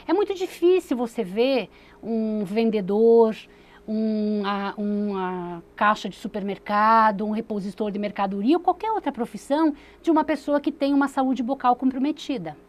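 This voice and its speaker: São woman in a tv studio interview, kinda high voice, earnest and explaining, lots of uh restarts. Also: normal speed. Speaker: municipal secretary, interview guest.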